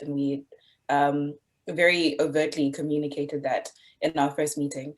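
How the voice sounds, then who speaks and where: A young woman student from Zimbabwe speaks over a video call. Her voice is very low for a woman, and she answers articulately with frequent ums. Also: darkish tone. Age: young adult.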